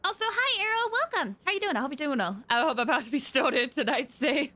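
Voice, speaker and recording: A woman's voice: high-pitched, expressive, fast talker, pushed and bright. A woman livestreaming a game, chatting casually and commenting as she plays. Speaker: game streamer. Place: indoors, livestream set-up. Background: game music.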